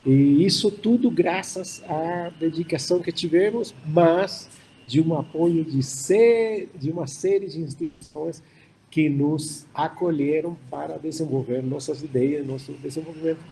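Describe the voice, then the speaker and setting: Older Chilean-accented man, mellow voice. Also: on the high side, a little hiss or hum, rough recording. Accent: Chilean Spanish. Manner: unhurried, many fillers and restarts. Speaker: startup founder panelist. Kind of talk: hybrid panel talk.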